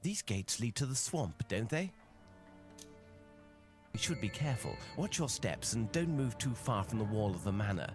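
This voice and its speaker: An actor playing a confident, analytical gentleman detective in a video game, speaking in a measured, formal and authoritative way. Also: lowish voice, sing-song. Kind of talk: video game cutscene acting. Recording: music behind the voice. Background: game music.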